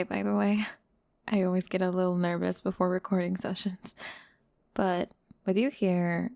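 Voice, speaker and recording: A woman's voice: fairly low pitch, gravelly, no room sound. A woman playing a sweet pop star, close and dry. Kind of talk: scripted ASMR audio roleplay.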